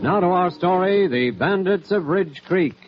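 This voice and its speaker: A man's voice: high-pitched, animated, measured pace. A man with an American accent giving grand, dramatic scripted narration as an old-time radio announcer. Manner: grand, declamatory. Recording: muffled old radio recording with music.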